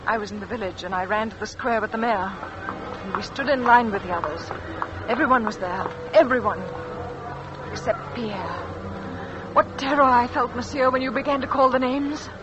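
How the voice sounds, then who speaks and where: An actress playing a frightened, haunted French village wife who tells her story in a hushed, anguished radio-drama style. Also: a little high, normal speed, close to an everyday voice. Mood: haunted, dreading. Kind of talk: 1940s-50s radio drama acting, confessional narration. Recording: dull old radio recording, music underneath.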